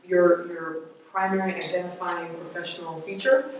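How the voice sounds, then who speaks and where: Lady moderating a panel, kinda low voice, chatty with lots of ums, far off in a big echoey hall. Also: little pitch movement, normal speed, slight background noise. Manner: chatty, many fillers, laughs easily. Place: large event hall with an audience.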